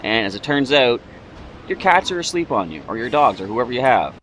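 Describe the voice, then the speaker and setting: A man hosting a game playthrough in a chatty, rambling way, his voice slightly raspy and broken by throat-clearing. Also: mid-range voice, very animated, a little fast, fairly bright tone, rough recording. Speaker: let's play host. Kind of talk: casual game commentary.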